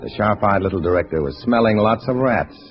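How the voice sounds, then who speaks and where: A low-voiced, wry secret agent, played by a man tells his story in clipped, dry style. It is old-time radio drama, with music behind and a dull, band-limited sound. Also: animated, fairly quick, natural voice. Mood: dry, tense. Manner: terse, dry, hard-boiled. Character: cool, wry undercover agent posing as a Communist, narrating. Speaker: narrator and lead actor. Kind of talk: 1950s radio drama acting, scripted narration.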